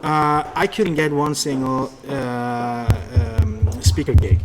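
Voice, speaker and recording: A man with a Norwegian accent telling stories conversationally as an interview guest, often halting and restarting his words. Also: medium pitch, fairly even intonation, noisy background. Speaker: public speaker and seminar leader, interview guest.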